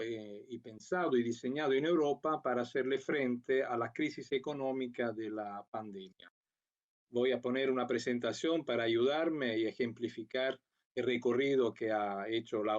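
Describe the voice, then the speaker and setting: Italian man giving a measured remote talk. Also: mid-range voice, darkish tone, dull audio. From Italy. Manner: measured, with frequent fillers and restarts. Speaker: program coordinator. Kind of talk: conference talk. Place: at home or office, remote.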